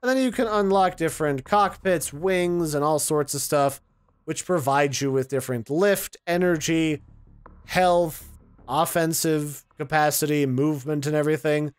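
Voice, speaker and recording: Man, game preview host, higher-pitched voice, colloquial and opinionated, dry recording, casual delivery. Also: moderate pace, clean tone, no echo. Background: music.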